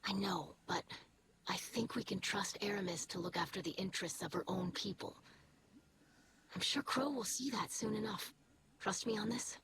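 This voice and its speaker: A woman voicing an earnest researcher ally in a game, soft-spoken, confiding and a little hesitant. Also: mid-range voice, normal speed, lightly acted. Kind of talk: video game dialogue. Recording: game ambience with clear background noise.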